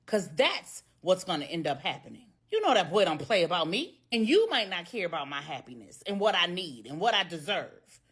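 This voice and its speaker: A fearless older American grandmother in an audio story, acted out by a woman. She is blunt, sassy and fed up, with a husky edge. Her pitch swings hard as she leans on her words. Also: very animated, normal speed, hardly any pauses, a bit bright, heavily acted. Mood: scolding, defiant. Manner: sassy, blunt, emphatic street talk.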